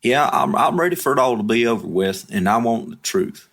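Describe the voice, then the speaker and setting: A young man, speaking in an interview, halting and repeating himself but blunt and emphatic. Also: medium pitch, animated, vocal fry, fairly bright tone. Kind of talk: candid interview.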